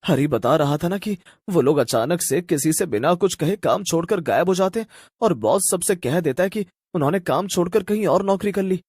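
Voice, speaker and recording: Husky, earnest young husband, acted by a man. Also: sits high, expressive, normal speed, a little mellow, dry recording, clean studio sound, full character voice. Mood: worried. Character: worried young husband working at a pizza shop. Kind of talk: animated cartoon story voice acting.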